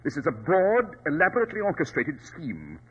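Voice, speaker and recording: An actor in a 1940s radio drama playing a coolly superior, sardonic detective with a clipped, precise, imperious delivery. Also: male voice, a little high, wildly swooping pitch, fairly quick, a bit bright, breathy edge, full character voice. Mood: cool, analytical. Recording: dull, lo-fi old radio recording.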